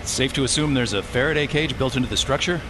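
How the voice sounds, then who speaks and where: Actor as a sardonic rescuer with dry one-liners, noisy effects backdrop. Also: male voice, medium pitch, fast, close to an everyday voice. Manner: dry, sarcastic quips. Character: wisecracking male rescue team member.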